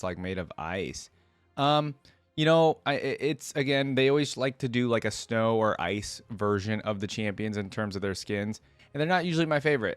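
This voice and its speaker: A man's voice: fairly low pitch, no echo. A man hosting a reaction video, giving casual commentary and thinking aloud in a conversational way as he weighs his opinions.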